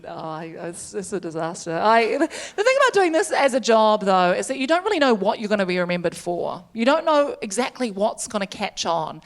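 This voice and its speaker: Woman, New Zealand accent, stand-up comedian, quick asides, medium pitch. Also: very animated. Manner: chatty, self-deprecating, quick asides with laughter. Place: comedy stage before a live audience.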